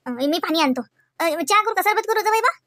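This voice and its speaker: Woman voicing a high, stammering cartoon mother-in-law. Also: expressive, pushed and bright, no echo, clean studio sound, exaggerated voice. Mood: flustered, hospitable. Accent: Vidarbha Marathi. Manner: stammering, halting, apologetic. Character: flustered middle-aged mother-in-law, anxiously hospitable. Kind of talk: comedy cartoon dialogue.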